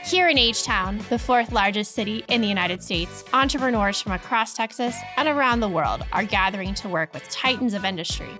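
A woman's voice: mid-range voice, brisk, few pauses, music in the background. A woman co-hosting a podcast, reading an intro and welcoming a guest in an upbeat, enthusiastic way. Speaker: podcast co-host. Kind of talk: scripted intro and interview hosting.

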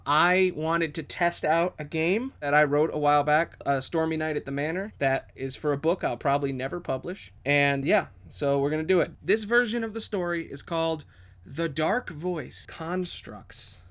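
Male game master and show host, slightly high voice, casual talk mixed with dramatic fantasy storytelling. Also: normal speed, fairly bright tone. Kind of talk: narrating a tabletop game, casual.

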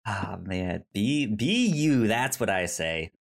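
Halting, slow-paced man chatting casually. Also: lowish voice, big pitch swings, vocal fry. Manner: halting, stammers and repeats syllables. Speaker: podcast co-host. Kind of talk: casual film discussion chat.

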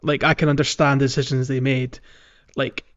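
A man co-hosting a podcast in casual conversation about video games, talking in a halting way as he thinks aloud. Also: mid-range voice, little pitch movement, muffled recording. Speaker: podcast co-host. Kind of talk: casual video game discussion.

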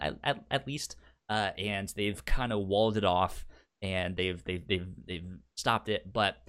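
A man with a fairly low voice chats casually as a podcast co-host. He stutters often, repeating opening sounds and short words as he goes. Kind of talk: casual review chat.

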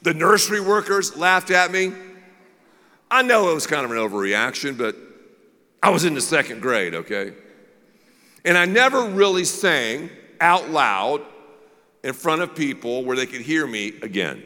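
A man pastor preaches openly and pokes fun at himself. His voice is bright and swings through a lively range of pitch. A long reverb trails every phrase. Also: moderate pace, pauses often. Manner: candid, self-deprecating storytelling. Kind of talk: sermon with a personal story.

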